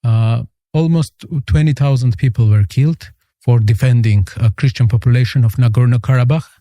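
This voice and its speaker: A man with an Armenian accent, a guest answering interview questions in earnest, halting English. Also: mid-range voice, dark tone, no echo, studio quality. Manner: earnest, halting, searching for words.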